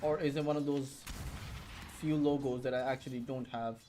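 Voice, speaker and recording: A man who speaks Urdu as his first language, casting a match alone, with rambling asides between quick play calls. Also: medium pitch, fairly even intonation, mellow, slight background noise. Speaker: esports caster. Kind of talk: solo play-by-play commentary.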